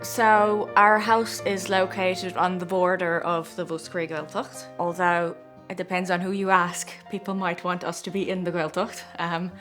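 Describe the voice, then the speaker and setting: Woman, low calm voice. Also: measured pace, hardly any pauses, music in the background. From South Africa. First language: Afrikaans. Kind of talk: documentary interview.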